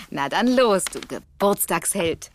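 A woman playing a kind but firm mother, speaking warmly and gently, in a children's radio play. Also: medium pitch, expressive, takes it slowly, a little hiss or hum. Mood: gentle, firm.

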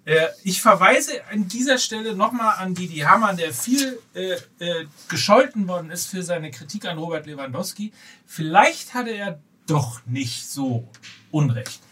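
Bright, forceful man, slightly distant mic. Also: high voice, lively intonation, moderate pace, breathy edge. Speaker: podcast co-host. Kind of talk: casual football talk, bantering. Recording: distant mic with faint background noise.

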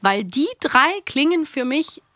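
A woman's voice: high voice, lively intonation, unhurried, sharp tone, dry recording. A woman hosting a magazine show in casual review chat. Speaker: audio drama magazine host. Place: close, dry recording.

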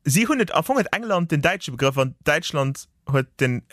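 A man's voice: fairly high pitch, lively intonation, brisk, fairly bright tone, dry recording, studio quality. A man with a Luxembourgish accent co-hosting a podcast, playfully sharing trivia in casual chat. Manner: playful, shares trivia with enthusiasm. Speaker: podcast co-host.

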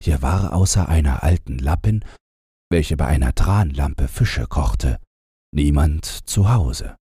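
A man's voice: extremely low pitch, animated, slow, warm tone, husky, dry recording, studio quality. A man reading a fairytale as a scripted bedtime audiobook narration.

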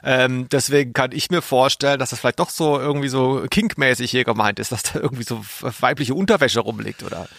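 A man's voice: medium pitch, a little fast, gravelly, few pauses, a bit bright. A man co-hosting a podcast in casual, humorous chat, rambling through conversational asides. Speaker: podcast co-host.

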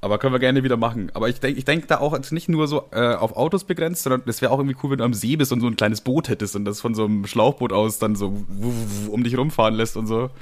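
A young man co-hosting a casual chat, relaxed and teasing, with plenty of slang. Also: mid-range voice, fast, hardly any pauses. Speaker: podcast co-host.

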